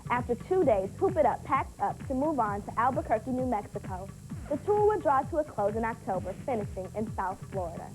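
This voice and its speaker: Animated, high-voiced young woman presenter. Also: fairly quick, over music, low-quality recording. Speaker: teen TV show reporter. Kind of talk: scripted promotional narration. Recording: clear background noise.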